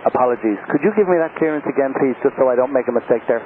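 A man of medium pitch speaks quickly over a thin radio channel. He is an airline pilot, polite and apologetic with ground control. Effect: radio transmission. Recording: tinny aviation radio, faint static.

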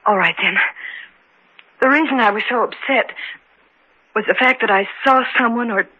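An actress playing a nervous, frightened young woman in an old-time radio drama, speaking anxiously and haltingly. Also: fairly high pitch, sharp tone. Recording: dull old radio recording.

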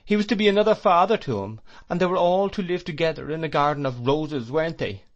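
A man's voice: a little high, animated, quick pace, lo-fi sound, pitched above the performer's own voice. A man reading an audiobook, voicing a fierce and outspoken elderly aunt who scolds emphatically. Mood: scornful.

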